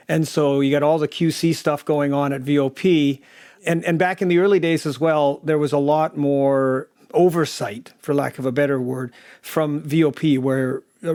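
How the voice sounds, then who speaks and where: Higher-voiced man recalling his work, dry sound. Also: a little mellow, dry recording. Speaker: audio drama producer and sound designer. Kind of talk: interview recollection.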